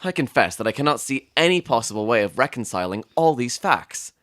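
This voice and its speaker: A man as an animated, earnest doctor companion. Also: mid-range voice, a little fast, no echo, clean studio sound. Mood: bewildered. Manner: earnest, questioning, expressive. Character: earnest, puzzled, admiring male doctor, detective's companion and narrator. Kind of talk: dramatic audiobook reading with first-person narration.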